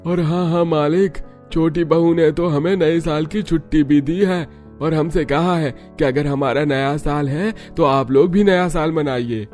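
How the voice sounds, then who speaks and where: Man playing humble servant, grateful, raised pitch, cartoon story, music behind. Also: a little slow, darkish tone, close to an everyday voice. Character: grateful, humble household servant praising the daughter-in-law.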